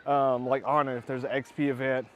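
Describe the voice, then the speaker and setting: A man's voice: mid-range voice, flat delivery, a little fast, a little hiss or hum. A man co-hosting a live stream, chatting casually while walking through a town square in the evening. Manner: easygoing, agreeable, describes surroundings.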